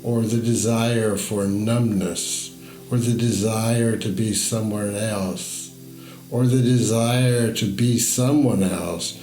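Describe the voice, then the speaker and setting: A man narrates a guided meditation as a story, in a measured, deliberate manner with pauses between phrases. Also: on the low side, a little mellow. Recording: echoey voice over music. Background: music.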